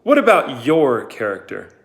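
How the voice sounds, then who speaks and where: A man reading aloud, voicing a stern, sarcastic woman who runs a camp, with cold, drawn-out questions. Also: very deep voice, big pitch swings, heavily acted. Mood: icy.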